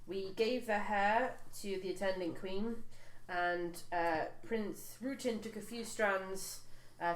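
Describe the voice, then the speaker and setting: Woman recapping a tabletop game, echoey. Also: mid-range voice. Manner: recaps with many fillers and restarts. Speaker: player recapping the campaign. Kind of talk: casual chat around a tabletop game. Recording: echoey room, faint noise.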